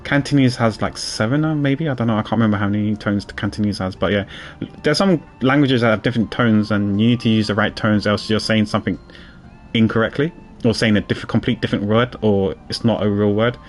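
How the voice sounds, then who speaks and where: A man's voice: lowish voice, a little fast. A man, streaming a game and rambling in casual chat with many restarts.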